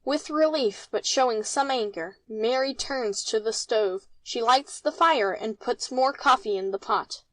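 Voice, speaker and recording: A woman's voice: on the high side, unhurried, few pauses, no echo. A woman reading out a play's stage directions as scripted narration.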